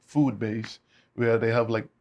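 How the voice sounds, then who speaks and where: A young man from the Bahamas, a guest musician talking in a relaxed way, with many fillers. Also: mid-range voice, unhurried, slight background noise. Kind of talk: casual interview chat.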